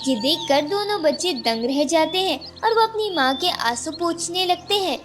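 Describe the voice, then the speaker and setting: Female storyteller for children's tales: notably high, youthful pitch, scripted narration over clear music. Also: expressive, normal speed.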